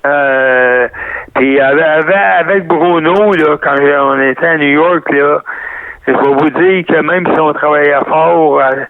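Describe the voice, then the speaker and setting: An older man with a Québécois French accent, a guest reminiscing in an interview, rambling and halting with many restarts, heard over a phone line. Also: on the high side, fairly even intonation. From Canada. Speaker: guest, retired wrestler. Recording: tinny phone-line sound, dry.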